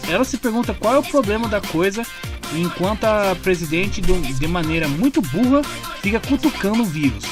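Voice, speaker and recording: Male narrator, Brazilian accent, high register, scripted story recap, delivered over a prominent music bed. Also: animated, brisk. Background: clear background music.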